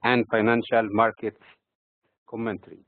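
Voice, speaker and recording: A man from Egypt with an Egyptian accent reads prepared financial market commentary in a measured, halting way, breaking phrases mid-sentence. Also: fairly low pitch, completely flat delivery, no echo. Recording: tinny, phone-like narrow-band audio.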